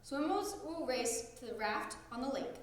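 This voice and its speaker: A woman's voice: sits high, animated, normal speed, recorded from a distance. A woman voicing an authoritative male camp director in a storybook, announcing and instructing. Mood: commanding. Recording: very echoey room.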